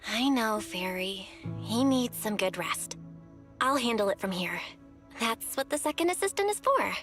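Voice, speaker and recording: High-voiced caring young game heroine. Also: female voice, expressive, unhurried, fairly bright tone, soft background music. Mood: caring, playful. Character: caring, bossy young woman, a sister figure. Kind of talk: video game voice acting.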